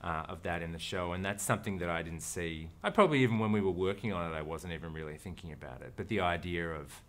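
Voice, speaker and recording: A man's voice: low-pitched, quick pace. An Australian man, an actor, talking casually as an interview guest, thoughtful and rambling, with frequent restarts.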